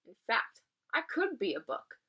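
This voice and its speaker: A woman voicing a wise old female oak tree in a storybook, reassuring and gently joking. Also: a little high, huge pitch swings, unhurried, husky, highs cut off, full character voice.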